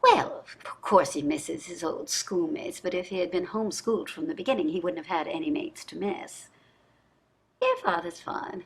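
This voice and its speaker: A woman's voice: on the low side, expressive, normal speed, slightly rough, heavily acted. An actress in a staged play reading, playing a wealthy, entitled society wife and mother with an affected, gushing manner. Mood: anxious, gushing. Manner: affected, gushing, effusive endearments.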